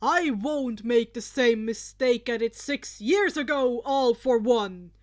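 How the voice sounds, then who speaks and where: Woman voicing a fierce growling hero man, loud. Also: fairly high pitch, takes it slowly. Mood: fierce, determined. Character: muscular heroic older man, fierce, growling, determined.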